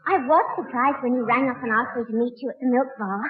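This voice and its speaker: Woman playing a high-voiced, sweet, naive schoolgirl. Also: fast talker, lightly acted. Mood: sweet, flattered. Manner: polite, eager to please. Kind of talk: 1960s radio sitcom acting. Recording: tinny, very noisy old radio recording.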